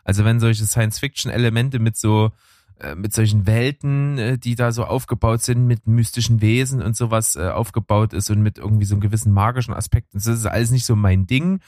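A man's voice: on the low side, a little fast, darkish tone, no echo, studio quality. A man chatting casually about films and trailers as a co-host, rambling with many fillers. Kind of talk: casual film chat.

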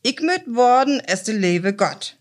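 A woman voicing a greedy, domineering fisherman's wife in a Low German fairy-tale reading, in a stern Low German accent. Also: animated, a little slow. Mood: demanding. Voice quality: stern and demanding.

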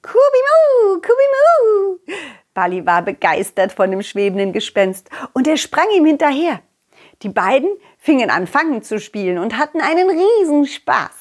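A woman narrating a children's story in a simple, expressive, child-directed way. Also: fairly high pitch, moderate pace.

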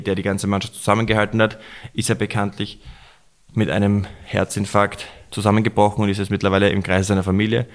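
A man giving measured, reflective football analysis as a pundit, stacking up phrases. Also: fairly low pitch. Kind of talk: post-match football analysis.

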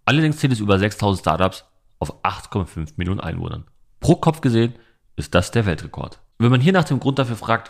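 A man hosting a podcast, reading a short intro and then asking questions in a friendly, informal way. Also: fairly low pitch. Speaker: podcast host.

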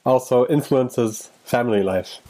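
A man's voice: medium pitch, a little slow, warm tone, no room sound. A man hosting a faith podcast, reading a friendly scripted welcome and asking curious questions. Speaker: podcast host. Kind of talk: scripted intro, then interview chat.

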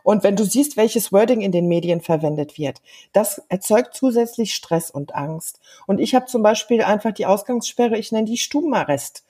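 A woman in her fifties, a native German speaker, talking earnestly and thoughtfully in a casual conversation, often pausing with fillers. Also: medium pitch, lively intonation, warm tone, husky. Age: 50s. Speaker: guest.

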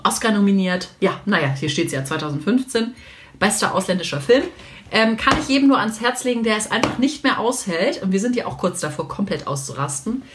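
A woman's voice: medium pitch, animated, brisk, slight background noise. A woman, co-hosting a podcast in casual chat with sardonic, exaggerated exasperation. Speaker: podcast co-host.

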